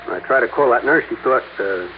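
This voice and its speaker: An actor playing a flustered, dim but eager-to-please guard in a 1950s radio drama, hesitant, rambling and slangy. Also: male voice, medium pitch, quick pace, lightly acted. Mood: anxious, eager. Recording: tinny, very noisy old radio recording.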